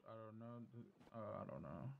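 A man's voice: lowish voice, lively intonation, moderate pace, creaky, a little hiss or hum. A man playing an online role-play game, talking casually over voice chat. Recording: thin phone-like voice-chat sound.

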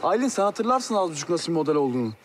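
An actor playing a jokey, easily exasperated young friend, outdoors at night. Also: male voice, a little high, very animated, racing, slight background noise. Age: young adult. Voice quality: joking, exasperated. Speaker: young man in a TV drama. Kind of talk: TV series acting.

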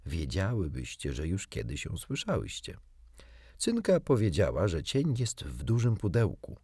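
A man narrating a children's story as an audiobook reader, in an expressive, theatrical way. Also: low voice, breathy edge, dry recording, clean studio sound.

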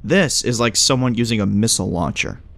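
A man's voice: lowish voice, expressive, fairly quick, gravelly, fairly bright tone. A man playing a smug, casual agent who explains things glibly in audio drama dialogue. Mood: measured, probing. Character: breezy, smug male agent who knows hidden things.

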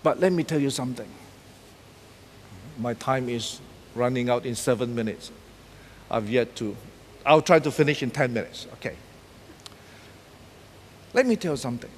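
A man's voice: medium pitch, normal speed, long pauses, slight background noise. A middle-aged man preaching a sermon as pastor, emphatic and repeating key words as he paces the stage. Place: large church stage decorated for Christmas. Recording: long hall reverb.

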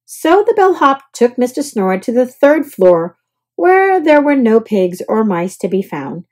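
A woman's voice: a little high, very animated, a little slow, a little mellow. A woman storyteller narrating a children's picture book aloud.

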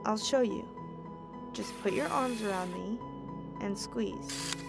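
A woman acts out a concerned doctor in an audio drama. She speaks earnestly and articulately, with firm principle. Music plays clearly underneath. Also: mid-range voice, slow pace. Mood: tender. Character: principled, concerned female doctor, insistent yet tender.

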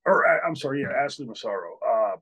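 A man's voice: mid-range voice, expressive, quick pace, fairly bright tone, slightly rough, lo-fi sound. An older man, host and former wrestler, telling a candid story on a video call from a hotel room, with many fillers and restarts. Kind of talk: storytelling in an interview. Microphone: video call, webcam.

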